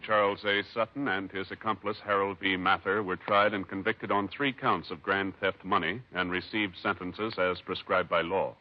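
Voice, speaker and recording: A man with an American accent announcing in a solemn, measured, formal 1950s radio style. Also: deep voice, fairly even intonation. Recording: muffled old radio recording, music behind. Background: music.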